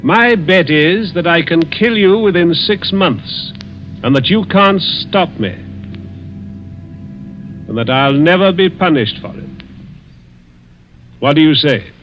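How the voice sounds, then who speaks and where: A man's voice: on the high side, lively intonation, faint music behind. Silky, sinister rich stepfather, old radio. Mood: gleeful menace. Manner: silky, taunting, deliberate with chuckles and hesitations. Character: suave, malicious, wealthy older stepfather plotting murder. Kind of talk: 1940s radio mystery acting. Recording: muffled vintage 1940s radio recording.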